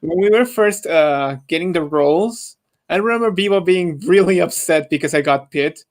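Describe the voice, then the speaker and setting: A man's voice: fairly high pitch, lively intonation, normal speed. A young adult man talking casually as a podcast panel member, with hesitant, stop-start phrasing. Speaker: podcast panel member, amateur fan dub voice actor. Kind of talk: casual group podcast chat.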